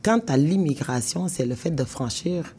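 A woman with a Senegalese accent, an academic guest explaining terms in a measured, didactic way, step by step. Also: low voice, lively intonation, warm tone, slight background noise. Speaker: law professor, expert guest. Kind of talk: explanatory interview answers.

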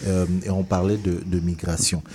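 A man hosting a conversational interview, talking in an animated, digressive way and circling back to rephrase. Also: low voice, moderate pace, slight background noise. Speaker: host interviewing a guest.

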